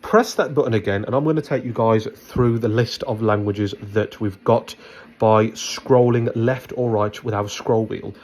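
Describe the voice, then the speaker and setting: A man presenting a step-by-step product demonstration, instructing in a halting way as he thinks aloud. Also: on the low side, fairly even intonation, darkish tone.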